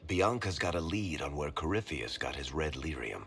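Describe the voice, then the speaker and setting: An actor playing a wry, roguish middle-aged man in a video game, with a gravelly voice and a sardonic, conversational delivery. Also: a bass voice, very animated, moderate pace, fairly bright tone, a little hiss or hum, full character voice. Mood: matter-of-fact.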